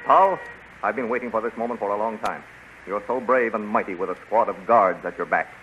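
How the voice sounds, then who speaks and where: An actor playing a bold, taunting rebel warrior with a resolute, declamatory delivery in a 1930s radio adventure serial. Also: male voice, on the low side, brisk, a bit bright, natural voice. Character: bold, defiant escaped rebel warrior challenging a tyrant. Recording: muffled, noisy 1930s radio recording.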